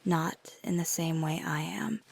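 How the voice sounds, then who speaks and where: A woman voicing a flirty, caring female vampire in an intimate ASMR roleplay, speaking softly and often in a whispery voice, thoughtful and affectionate. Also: low-pitched, measured pace, full character voice. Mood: reassuring, earnest. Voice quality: soft, breathy, often whispery. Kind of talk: ASMR roleplay, intimate close address to listener.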